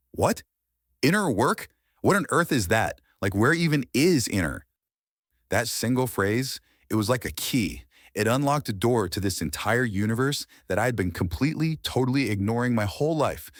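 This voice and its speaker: A man in his thirties whose first language is Farsi, a meditation teacher and life coach telling his personal story in a reflective, animated way. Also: medium pitch, no room sound, clean studio sound. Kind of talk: personal storytelling podcast monologue.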